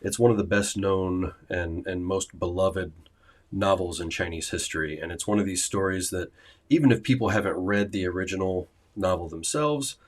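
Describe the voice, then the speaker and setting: Deep-voiced man hosting a casual livestream. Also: moderate pace. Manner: rambling, many fillers and restarts. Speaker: host of a language-learning livestream.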